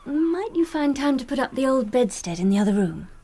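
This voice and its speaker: An actress playing a sweet, thrifty, loving young sailor's wife in a radio drama, with a breathy, husky voice. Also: female voice, sits high, very animated, brisk, dark tone, a little hiss or hum. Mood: playful, coaxing.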